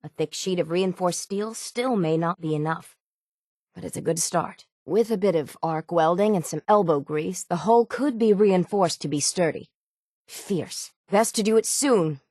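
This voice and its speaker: A woman voicing a gruff, stubborn, hard-bitten shipwright in a video game, with salty, plainspoken seafaring talk. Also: lowish voice, measured pace, a little mellow, no room sound, clean studio sound. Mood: gruff, brisk. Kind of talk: video game character dialogue.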